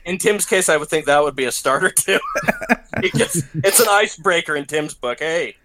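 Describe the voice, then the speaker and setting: Bright-voiced teasing man. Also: fairly high pitch, expressive, moderate pace. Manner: teasing banter. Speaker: podcast co-host. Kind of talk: casual chat.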